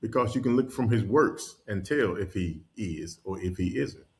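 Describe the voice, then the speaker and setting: A man from North Carolina, a podcast guest and world traveler, telling stories in casual conversation with lively energy and frequent laughter. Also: lowish voice, normal speed. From North Carolina, USA. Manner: animated storyteller, laughs often.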